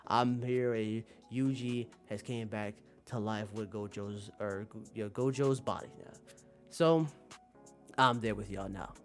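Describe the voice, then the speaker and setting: A man's voice: lowish voice, fairly even intonation, measured pace, faint music behind. A man talking casually to his viewers as a streamer, rambling in an emphatic, slangy way and repeating phrases for emphasis. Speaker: streamer and manga commentator.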